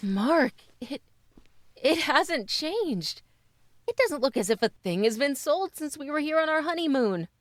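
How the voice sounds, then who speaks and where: Lively, high-voiced middle-aged wife. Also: female voice, unhurried, dry recording. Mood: sentimental. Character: cheerful middle-aged wife on her twentieth anniversary, teasing, eager. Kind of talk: old-time radio drama acting.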